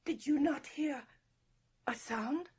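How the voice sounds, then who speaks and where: An actress playing an ageing, superstitious French governess with a French accent and a husky voice, anxious and foreboding in a radio drama. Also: female voice, high-pitched, slow pace, darkish tone, no room sound, clean studio sound. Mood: uneasy.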